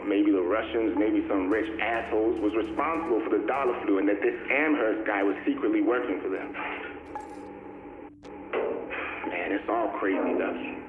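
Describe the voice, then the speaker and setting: Actor as a low-voiced, world-weary survivor, tinny recording. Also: male voice, close to an everyday voice. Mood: bitter, suspicious. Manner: colloquial, grim, world-weary. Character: cynical, streetwise, pessimistic male survivor of a collapsed city. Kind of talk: dramatic monologue in a video game audio log. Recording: tinny, echoey, noisy recorded audio log.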